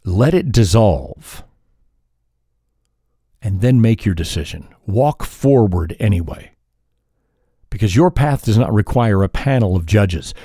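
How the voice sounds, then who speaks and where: Low, mellow-voiced man hosting a podcast. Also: slightly rough, no echo, studio quality. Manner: calm and measured, with pauses and self-corrections. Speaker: podcast host. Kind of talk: reflective solo podcast monologue.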